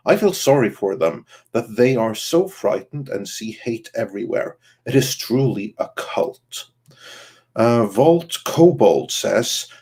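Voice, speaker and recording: A man's voice: medium pitch, a little mellow, husky. A man hosting a podcast livestream and reading out viewer comments. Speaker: podcast host.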